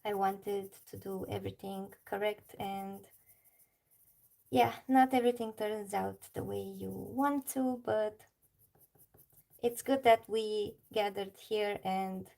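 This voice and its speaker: A woman's voice: medium pitch, steady tone, slow, gravelly, warm tone. A woman from Romania with a Romanian accent, chatting casually on a livestream while she colours and answers her chat. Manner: chatty, reads chat aloud, laughs often.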